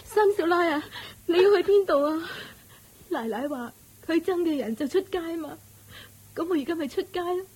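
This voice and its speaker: An actress playing a mistreated, despairing young wife in a radio drama, talking in a weepy, pleading way. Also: female voice, very high voice, expressive, normal speed, darkish tone. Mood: distraught. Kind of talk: Cantonese radio drama acting.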